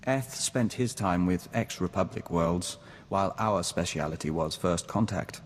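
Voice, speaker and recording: A man playing a calm, formal diplomat joined to a hive mind, speaking in a measured, earnest way in a video game. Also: low voice. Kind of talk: scripted video game companion dialogue. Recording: long reverb, audible background noise.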